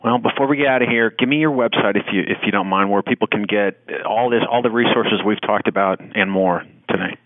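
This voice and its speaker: A man hosts a casual interview in a slightly low voice. He speaks informally and haltingly, and the sound is dry and narrow like a phone call. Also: no room sound. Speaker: podcast host.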